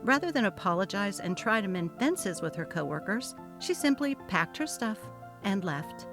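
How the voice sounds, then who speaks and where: A woman narrating a short story as a dramatic, gossipy reading, expressive in her delivery. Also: medium pitch, moderate pace, music in the background.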